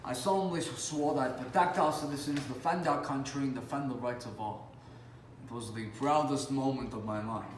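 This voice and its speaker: A man playing a world-weary, animated police officer telling stories from the beat in a theatrical, conversational way with dramatic pauses. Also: medium pitch, lightly acted. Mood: animated storytelling. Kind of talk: competitive dramatic monologue performance. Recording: roomy, distant mic with faint noise.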